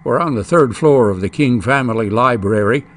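A man reading a scripted promotional voice-over in a measured, inviting way. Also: mid-range voice. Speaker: announcer.

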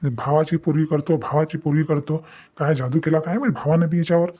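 A man's voice: a little high, fairly even intonation, brisk, dark tone, lightly acted. A man voicing an angry, scolding father in a cartoon family comedy, repeating phrases for emphasis in a rural Varhadi Marathi accent. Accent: Varhadi Marathi from Vidarbha, Maharashtra.

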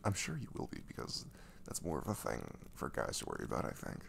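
A man playing a gentle, caring boyfriend in an intimate ASMR roleplay, speaking softly and breathily, often half-whispering, with casual, hesitant reassurance. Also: rumbling low, wildly swooping pitch, fast, creaky, slight background noise, exaggerated voice. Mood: tender, reassuring. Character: caring, gentle young boyfriend comforting his girlfriend.

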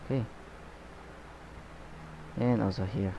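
A man with a lowish, mellow voice walks viewers through a painting as he works. He talks in a relaxed, unhurried way, often saying "okay" and "guys". The recording sounds a little dull and lo-fi. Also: gravelly, a little hiss or hum. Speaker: painting instructor. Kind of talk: painting tutorial narration.

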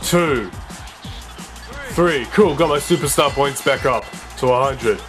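A man's voice: mid-range voice, takes it slowly, music in the background. A young man with a slightly sore voice commenting casually on a wrestling video game and reading its dialogue aloud. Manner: chatty, excitable, reads game dialogue aloud. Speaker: let's play gamer.

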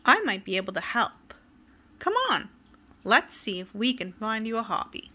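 A woman voicing a cheerful, kind grown-up male storybook character who talks brightly and eagerly. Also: medium pitch, sing-song, moderate pace, breathy edge, full character voice.

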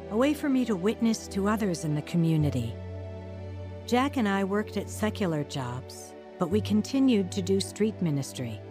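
Actress as earnest older church lady, radio drama testimony, lowish voice, music under it. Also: natural voice. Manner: earnest, devout. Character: devout older woman, street evangelist recounting her life. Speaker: narrating lead actress. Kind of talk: radio drama first-person testimony narration.

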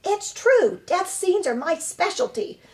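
A woman's voice: extremely high pitch, sing-song, unhurried, pitched above the performer's own voice, exaggerated voice. A woman voicing a dramatic, show-off female opossum who gushes about acting. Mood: theatrical. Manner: gushing, theatrical.

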